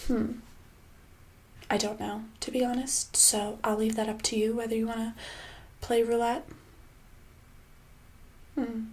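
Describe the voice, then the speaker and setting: A woman playing a smug, sinister boss in a soft-spoken ASMR roleplay, casual and condescending, with a breathy voice that often slips into a whisper. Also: fairly high pitch, level tone, a little hiss or hum. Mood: mock-sympathetic, menacing. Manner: casual, condescending, falsely sweet, hesitant pauses. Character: smug, sinister female boss sweetly holding an employee hostage.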